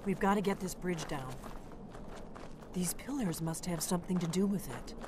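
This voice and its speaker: Woman acting practical female warrior, low pitch, brisk matter-of-fact delivery, fantasy game, noisy ambience behind. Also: lightly acted. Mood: brisk, focused.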